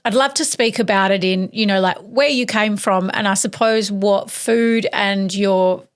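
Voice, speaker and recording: A woman's voice: mid-range voice, fairly even intonation, unhurried, clean tone. Casual, conversational woman podcast host.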